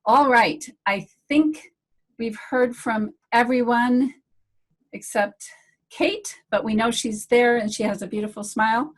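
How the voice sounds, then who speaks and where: Woman, host, medical director, friendly, rambling, higher voice, video call mic. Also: a little slow, rough recording. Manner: friendly, chatty, rambling restarts.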